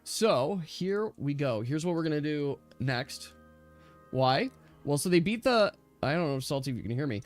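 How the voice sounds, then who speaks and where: Higher-voiced man running a tabletop game. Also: expressive, moderate pace. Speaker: game master. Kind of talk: casual tabletop game narration. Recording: dry close mic with music behind. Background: music.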